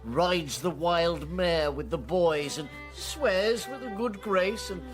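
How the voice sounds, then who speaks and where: An older actor playing a fat, boastful, roguish old knight in a Shakespearean radio drama, blustering and halting as he wheedles. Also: high-pitched, animated. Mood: mocking. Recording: music behind the voice.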